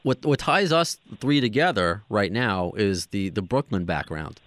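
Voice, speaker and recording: A man's voice: fairly low pitch, expressive, a little slow, fairly bright tone, no room sound. A man from New York hosting a podcast, chatty and jokey, asking his guest questions in casual interview talk. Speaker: podcast host.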